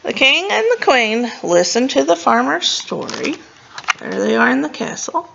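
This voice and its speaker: Woman, very creaky bright voice, expressive storytime read-aloud, casual asides, slightly dull recording. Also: sits high, moderate pace, a little hiss or hum. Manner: expressive, stumbling over words and commenting as she goes. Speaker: librarian reading a storybook to children.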